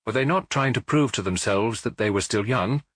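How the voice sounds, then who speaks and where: A man's voice: on the low side, normal speed, a bit bright, no echo. A man reading a detective novel as an audiobook narrator, in scripted storytelling style.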